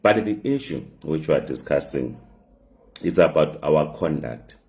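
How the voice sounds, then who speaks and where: A man with a hoarse voice from the flu, hosting a live talk show from his phone, speaking haltingly with many fillers and repeated words. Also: very deep voice, expressive, darkish tone. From migrant living in South Africa.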